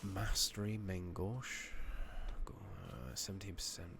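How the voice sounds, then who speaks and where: A man giving soft-spoken ASMR game commentary, muttering casually to himself and often slipping into a whisper, on a noisy close mic. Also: low voice, lively intonation, measured pace. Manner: murmuring, often dropping into whispers. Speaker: video game streamer. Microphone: makeshift-rigged new microphone. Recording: noisy close mic, slightly loud, clicking.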